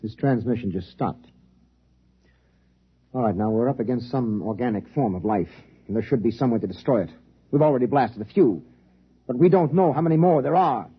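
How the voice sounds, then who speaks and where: An intense scientist in vintage radio science fiction. He explains insistently in a rough-edged voice of medium pitch. The recording sounds muffled and old. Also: a little fast, long pauses. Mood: insistent, grave. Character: intense, urgent male scientist reasoning out a threat. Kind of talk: 1950s radio drama acting. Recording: dull 1950s radio recording.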